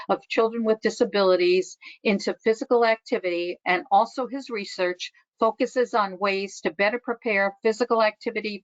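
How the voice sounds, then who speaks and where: A woman's voice: medium pitch, dry recording. A woman serving as training coordinator, politely and formally introducing a webinar presenter. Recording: dry, muffled webinar audio.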